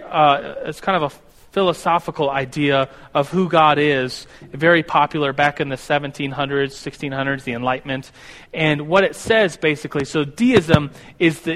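Man, 30s, slightly high voice, classroom teacher, rambling self-correcting delivery, long reverb. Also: fairly bright tone. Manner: rambling, self-correcting, stumbles over words. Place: classroom with a board.

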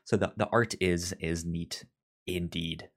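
A man's voice: sits low, steady tone, measured pace. A man co-hosting a podcast in a casual book-chat style, often stammering and repeating syllables and words. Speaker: podcast co-host.

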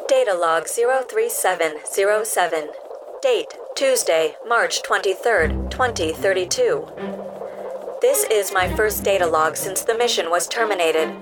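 A woman's voice: medium pitch, a little slow, music in the background, natural voice. Woman acting as a polite, precise caring robot. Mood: measured, concerned. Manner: precise, polite, formal wording. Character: earnest, curious, caring health-monitoring robot. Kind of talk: audio drama acting.

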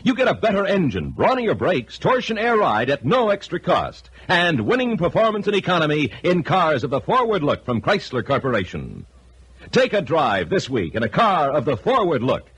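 A man's voice: on the high side, wildly swooping pitch, normal speed, fairly bright tone, breathy edge. A man announcing and reading an advertisement in the style of 1950s radio. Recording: vintage radio recording.

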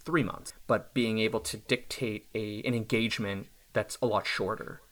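A man in his thirties, a self-employed software developer, talking casually and thoughtfully about his work, often restarting his sentences. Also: fairly low pitch, fairly even intonation, normal speed. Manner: thoughtful, reflective, with restarts and small laughs. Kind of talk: casual podcast conversation.

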